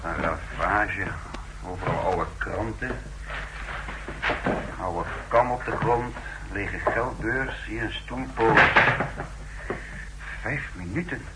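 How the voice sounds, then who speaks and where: Through heavy crackling noise comes a lowish male voice playing a terse agent in vintage radio drama. He speaks in clipped fragments, taking stock item by item. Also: slow, long pauses. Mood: terse, observant. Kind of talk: 1960s Dutch radio drama acting. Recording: very noisy, crackly old radio recording.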